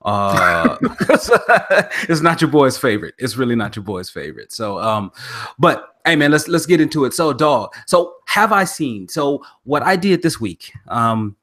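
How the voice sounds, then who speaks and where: Brief: male podcast host, 30s, from Oklahoma, baritone, loose slang, lively delivery, mid pitch. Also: measured pace. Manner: slangy, animated, laughs often. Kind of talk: casual podcast chat.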